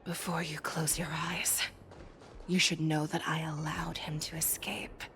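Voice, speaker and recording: A woman playing a battle-hardened fox-folk general in a video game, stern, composed and measured. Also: deep voice, little pitch movement, bright, forceful tone, soft background music, full character voice. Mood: cold, grim. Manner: measured, grave, commanding.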